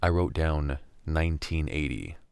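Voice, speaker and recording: A man's voice: extremely low pitch, unhurried, gravelly, a little mellow, clean tone, no echo, studio quality, heavily acted. A man playing a jaded scavenger who narrates a radio drama in a wry, matter-of-fact, weary way. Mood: dry, resigned. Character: jaded male scavenger in a silent post-virus America, narrating.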